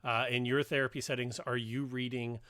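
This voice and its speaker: A man's voice: medium pitch, little pitch movement, fairly quick, pushed and bright, dry recording, clean studio sound. A man hosting a podcast discussion, moderating and talking through points with co-hosts. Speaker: podcast host.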